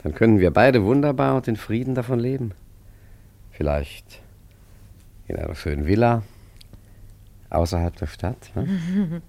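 A man's voice: mid-range voice, big pitch swings, unhurried, gravelly, pauses often, darkish tone, no echo. A man acting in a 1950s German radio play, playing a roguish, talkative art forger with a wry, mock-grandiose manner. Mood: persuasive. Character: roguish, wry male art forger posing as poor artist.

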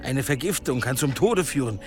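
An actor playing a careful, respectful monastery apothecary in a German radio drama. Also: male voice, mid-range voice, lively intonation, moderate pace, darkish tone, faint music behind, natural voice. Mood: cautious, concerned. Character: cautious, deferential monastery apothecary monk.

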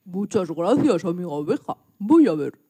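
A woman's voice: fairly low pitch, big pitch swings, moderate pace, dark tone, husky. A woman voicing a small, anxious and polite piglet in a children's radio play, exclaiming and muttering questions to itself. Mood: polite, hopeful. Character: small anxious, polite young piglet searching for lost chops.

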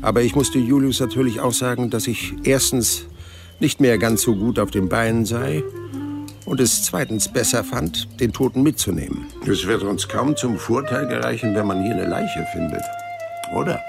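An actor playing an unflappable hundred-year-old man in a German radio play, recalling things in a creaky, unhurried, dryly polite way. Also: mid-range voice, lightly acted. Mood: calm recounting. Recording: music under the voice.

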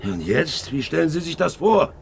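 Gravelly, brooding old sea captain. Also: male voice, on the high side, very animated, moderate pace, slight background noise. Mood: harsh, commanding. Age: older. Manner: brooding, swinging from muttering to harsh barking. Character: hard, brooding ageing ship's captain, self-justifying and haunted. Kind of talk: 1950s German radio drama acting. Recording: 1950s radio recording, faint music.

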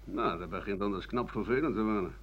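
An actor playing a bitter, sardonic old doctor-writer, grumbling theatrically through a radio play monologue. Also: male voice, on the low side, fast, close to an everyday voice. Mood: bitter, weary. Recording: muffled old radio broadcast recording.

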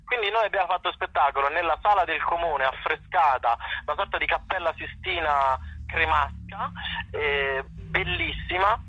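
A man's voice: fairly high pitch, moderate pace, pushed and bright, breathy edge, no room sound. A man speaking casually as a theatre director and performer interviewed on radio, sometimes repeating words as he searches for them. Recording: dull, dry radio or phone-line sound.

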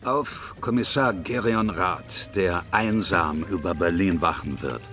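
A man's voice: low voice, slow, a bit bright, soft background music, full character voice. An actor playing a blunt, contemptuous man in a German radio drama, with a dry way of talking. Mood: wry.

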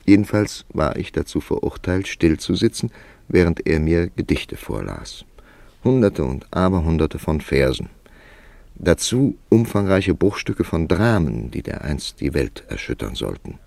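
Deep, gravelly, wry writer-narrator. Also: male voice, sing-song, a little mellow, no echo, full character voice. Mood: wry narration. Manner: measured, wry, dramatic storytelling. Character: older established male writer, wry, worldly narrator of his tale. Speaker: narrator and lead character. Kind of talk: literary radio play narration and dialogue.

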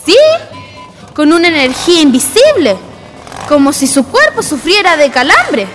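Radio drama role: excitable Chilean gossip voiced by a woman, high swooping voice, music underneath. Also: normal speed. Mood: excited gossip. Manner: animated, colloquial Chilean storytelling. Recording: music behind the voice.

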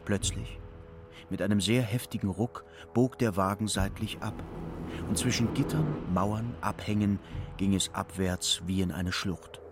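A man's voice: fairly low pitch, fairly even intonation, measured pace, noisy background. A man narrating a literary radio play from a written text.

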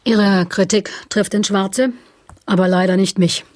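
A woman plays a cool, wry author in a satirical radio play. She has a mid-pitched voice in a dry studio sound and explains herself in articulate, formal phrases. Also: fairly even intonation, a little slow, darkish tone, no room sound, natural voice. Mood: dry, unruffled. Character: cool, wry, self-justifying woman author being interviewed.

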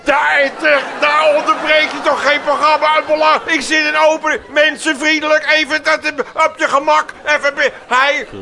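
A man playing an excitable, exasperated comedy radio show host who blusters, repeats himself and interrupts. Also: very high voice, moderate pace, vocal fry, hardly any pauses, some chatter behind, heavily acted. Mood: indignant, mocking. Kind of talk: Dutch radio sketch comedy.

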